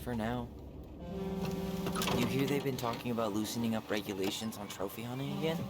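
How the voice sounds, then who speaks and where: A man's voice: medium pitch, brisk, loud music behind the voice, close to an everyday voice. A man acting an earnest, wry young American man in naturalistic, understated video game dialogue. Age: young adult. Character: earnest, dryly joking young man, passionate about wildlife. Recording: game stream with clear background noise.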